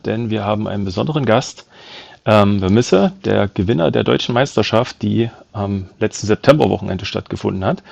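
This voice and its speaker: Man, podcast host, gravelly voice, halting with fillers, dry close mic. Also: on the low side, a little mellow, dry recording. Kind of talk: casual podcast hosting.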